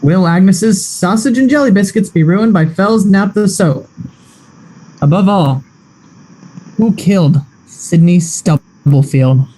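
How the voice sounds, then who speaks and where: A mellow, dark-toned radio announcer voiced by an actor narrates the serial with melodramatic flair. He builds cliffhanger questions and signs off in the old broadcast manner. The pitch sits in a middle register. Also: expressive, slow pace, pauses often, heavily acted, low-quality recording. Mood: dramatic. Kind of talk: scripted narration.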